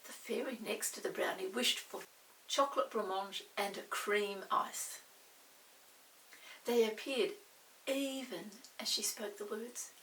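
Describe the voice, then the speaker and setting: A woman's voice: medium pitch, unhurried, sharp tone, recorded from a distance, slight background noise, poor audio. A woman reading a children's story aloud expressively, lingering on words for emphasis.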